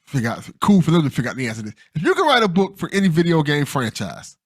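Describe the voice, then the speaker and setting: A man's voice: a little high, sing-song, very fast, fairly bright tone, dry recording, studio quality. A man hosting a casual podcast interview, with a playful dramatic delivery when announcing questions and an easy laugh. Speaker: podcast host.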